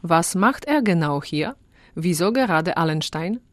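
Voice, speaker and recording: A woman's voice: fairly low pitch, expressive, unhurried, dry recording. Female radio presenter, scripted links, dry close sound.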